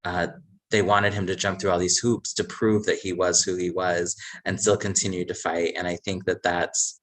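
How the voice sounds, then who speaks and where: A man from a charity speaking earnestly on a livestream, measured and pausing often. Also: low voice, level tone, a bit bright, low-quality recording. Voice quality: earnest, measured, many "uh" pauses. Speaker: charity representative. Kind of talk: casual livestream chat.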